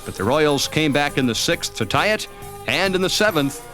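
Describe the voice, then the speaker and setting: An American man reading scripted sports documentary narration as the narrator of a highlight film. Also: expressive, moderate pace, sharp tone. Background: background music.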